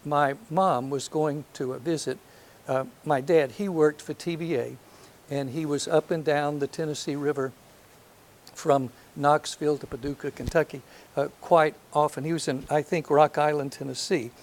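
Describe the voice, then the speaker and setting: An older man from North Alabama with a soft voice, giving a reflective lecture to an audience, hesitant and full of ums, with gentle self-deprecating humor. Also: a little high, steady tone, normal speed. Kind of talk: last lecture, reminiscence. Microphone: handheld or podium microphone.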